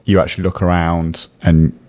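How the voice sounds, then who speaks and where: Male interviewer, very deep voice, rambling exploratory questions. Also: level tone, moderate pace, dark tone. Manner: loose, searching questions with fillers. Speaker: podcast host. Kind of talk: podcast interview.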